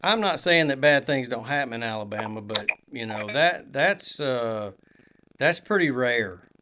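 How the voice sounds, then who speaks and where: A man's voice: medium pitch, expressive, unhurried, pushed and bright, slight background noise. A man, co-hosting a podcast in casual chat, rambling with many fillers and restarts. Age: 20s. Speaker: podcast co-host.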